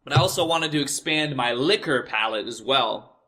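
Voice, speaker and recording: Bright-voiced American man rambling casually. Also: mid-range voice. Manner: profane, repetitive, halting and self-correcting. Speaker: host of a personal storytelling show. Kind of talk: casual rambling personal storytelling.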